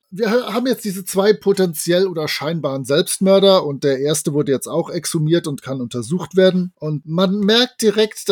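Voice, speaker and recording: A man's voice: a little high, a little mellow. A man, co-hosting a podcast in a casual, chatty retelling style. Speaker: podcast co-host. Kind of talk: casual discussion and retelling of an audio drama.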